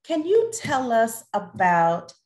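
A woman's voice: on the high side, very animated, takes it slowly, a little mellow. A middle-aged woman hosting a talkback interview on a video call from a room at home. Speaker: host, theatre artistic director.

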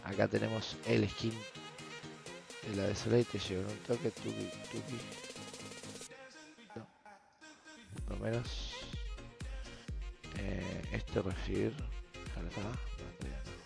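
A young adult man with an Argentine accent and a hoarse voice, commenting casually and slangily as he streams. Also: lowish voice, fairly even intonation, a little slow, pauses often, over music. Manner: slangy, offhand. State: hoarse from shouting. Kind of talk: casual gaming video commentary. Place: small room at home, indoors.

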